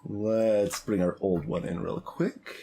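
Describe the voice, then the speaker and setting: A man's voice: fairly low pitch, steady tone, slow pace, gravelly, mellow. A man giving casual live commentary as he plays a game, hesitantly thinking aloud. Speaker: streamer playing a game. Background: game music.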